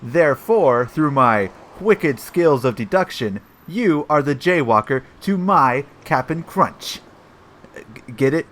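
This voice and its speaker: A man's voice: medium pitch, expressive, takes it slowly, fairly bright tone. A man playing a cocky, bumbling hardboiled police detective in a comic audio play, self-satisfied and full of old-fashioned slang.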